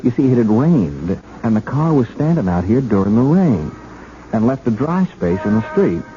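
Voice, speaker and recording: An actor playing a bumbling, friendly but quietly shrewd policeman in a 1970s radio drama, stammering and apologetic. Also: mid-range voice, sing-song, normal speed, close to an everyday voice. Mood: rambling, probing. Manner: hesitant, stammering, folksy, falsely apologetic. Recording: dull, lightly hissy 1970s radio recording.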